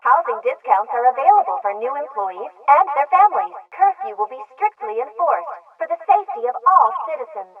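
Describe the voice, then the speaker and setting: A distant, phone-thin loudspeaker carries a female government announcer from a sci-fi game. She is measured, formal and impersonal. Her delivery is orderly and authoritative, like scripted public notices. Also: high voice. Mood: promotional, polished. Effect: loudspeaker radio filter. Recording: tinny, band-limited, distant loudspeaker sound.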